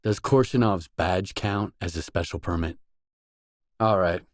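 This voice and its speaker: A man's voice: low voice, unhurried, no echo, clean studio sound. An actor playing a pragmatic male mercenary stalker in a video game, speaking in restrained, matter-of-fact, clipped lines. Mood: matter-of-fact, guarded. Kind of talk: video game character dialogue.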